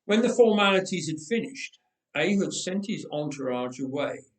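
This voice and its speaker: A man reading a story aloud in a dramatic, expressive, measured way with deliberate pauses. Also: fairly high pitch, recorded from a distance. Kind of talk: dramatic scripted story narration. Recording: roomy, echoing indoor sound.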